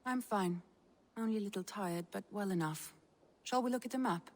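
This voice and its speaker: A woman playing a composed Norse noblewoman and advisor in a video game, speaking in a gentle, measured way. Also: mid-range voice, lightly acted. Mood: wistful. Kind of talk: naturalistic video game acting.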